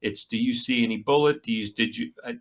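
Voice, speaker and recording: A man's voice: medium pitch, fast talker. A man co-hosting a casual talk show, telling stories in a blunt, crude way. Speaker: podcast co-host, actor. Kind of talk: casual chat.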